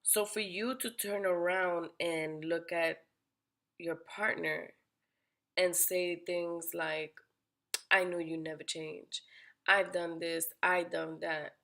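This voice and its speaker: Woman tarot reader, a bit sick, gravelly and slightly low, direct and probing. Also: level tone, takes it slowly, pushed and bright. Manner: direct, questioning, addresses the listener by sign. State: under the weather, raspy-sounding voice. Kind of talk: weekly horoscope tarot reading.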